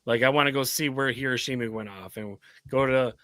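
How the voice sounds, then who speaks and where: A man's voice: mid-range voice, fairly quick, pushed and bright, no echo, clean studio sound. An American man hosting a casual interview show in an informal, rambling way. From United States. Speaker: talk show host.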